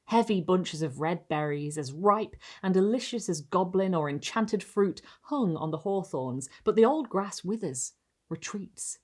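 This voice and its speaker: Low-pitched woman, theatrical storyteller. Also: lively intonation, darkish tone. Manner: theatrical, savouring words, deliberate enunciation. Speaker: host reading and analysing short stories. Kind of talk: dramatic literary storytelling and presenting.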